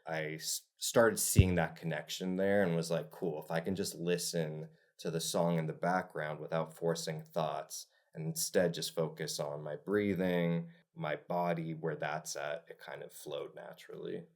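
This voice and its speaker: A man from the Czech Republic who grew up in Los Angeles, a music video director talking as a podcast guest in a relaxed, reflective way with frequent "like" fillers. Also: very deep voice, unhurried, few pauses. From Czech, raised in Los Angeles. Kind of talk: casual interview conversation.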